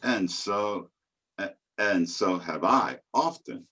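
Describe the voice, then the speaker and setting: A man's voice: low-pitched, lively intonation, takes it slowly, bright, forceful tone, husky, exaggerated voice. A man reading the part of a grieving son in a poor Victorian family, hesitant and subdued, in a story. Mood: subdued, sad. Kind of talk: dramatic reading of a classic story.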